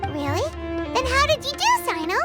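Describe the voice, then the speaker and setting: A tiny floating girl sidekick for a video game, squeaky and extremely high. The delivery is excitable and swings widely in pitch, with loud music playing behind it. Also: moderate pace. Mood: chirpy. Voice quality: squeaky, childlike. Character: tiny excitable floating girl companion, speaks in third person.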